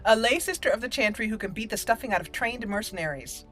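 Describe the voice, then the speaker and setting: A woman's voice: on the high side, lively intonation, quick pace, a bit bright. Female let's play host, roleplay narration of a fantasy game, music bed underneath. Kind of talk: roleplay gameplay narration, reading dialogue choices aloud.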